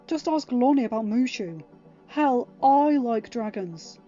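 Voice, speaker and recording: A woman voicing an earnest, protective young king, speaking gently but emphatically to reassure someone in a story. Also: fairly high pitch, animated, unhurried, muffled recording, faint music behind, voice pushed up. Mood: firm, reassuring.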